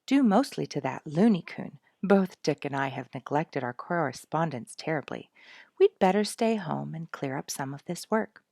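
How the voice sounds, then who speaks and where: A woman's voice: sits low, animated, moderate pace, gravelly, a little mellow, no echo, clean studio sound, full character voice. A woman voicing a busy, self-satisfied mother in a read-aloud story, speaking with emphasis. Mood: apologetic, firm. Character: busy, smug mother, a hesitant animal lover.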